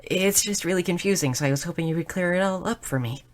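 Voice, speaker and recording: Woman acting a shy young goblin girl, deep for a female voice, nerdy, excitable, curious, slightly stammering, fantasy audio roleplay. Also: fast talker, lightly acted. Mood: earnest, fascinated. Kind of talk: scripted audio roleplay addressed to the listener.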